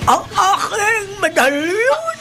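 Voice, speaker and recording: An older village woman in a horror radio play. She wails urgent commands in a very high, slow, straining voice, with clear music behind her. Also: sing-song, heavily acted. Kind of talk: horror radio drama acting.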